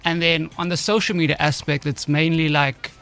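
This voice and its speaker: A man, a DJ answering interview questions in a laid-back, soft-spoken way. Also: a little high, level tone, moderate pace. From South Africa. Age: 20s. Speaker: guest, DJ and producer. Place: radio studio.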